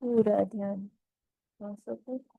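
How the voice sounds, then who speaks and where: A woman leading a guided meditation as instructor, speaking in a measured, gently instructive way with pauses between phrases. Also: mid-range voice, fairly even intonation, gravelly, no echo, phone-quality sound.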